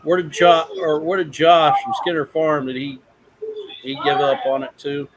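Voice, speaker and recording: Man, slightly high voice, playful teasing, casual live banter. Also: level tone, moderate pace, slight background noise, poor audio.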